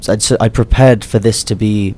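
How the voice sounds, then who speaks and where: A young man from the United Kingdom, a radio guest telling a story in an animated way and explaining patiently. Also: fairly low pitch, normal speed, slight background noise. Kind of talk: casual storytelling.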